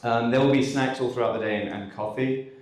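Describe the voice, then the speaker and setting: Male host, mid-pitched, casual stage remarks, roomy hall sound. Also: a little fast. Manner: relaxed, conversational, with frequent ums. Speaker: conference host. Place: conference hall. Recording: echoey hall.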